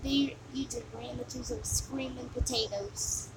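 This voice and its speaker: A woman's voice: fairly high pitch, steady tone, natural voice. A woman voicing a mocking, sneering demon who taunts the player in amateur video game voice lines. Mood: bored, exasperated contempt. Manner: taunting, scornful, drawn-out sighing delivery. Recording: noisy amateur home recording.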